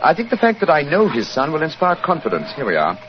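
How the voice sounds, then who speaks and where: An actor playing a self-assured, courteous English gentleman detective in 1940s radio drama, formal, with an upper-class accent. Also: fairly high pitch, wildly swooping pitch, fast talker, background noise. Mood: easygoing, assured. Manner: crisp, formal, politely probing. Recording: muffled 1940s radio recording.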